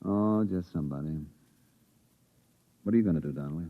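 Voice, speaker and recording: An actor playing a seasoned, stern and weary expedition pilot in a 1950s radio drama. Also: male voice, low voice, brisk. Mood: wistful. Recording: dull, lo-fi 1950s radio recording.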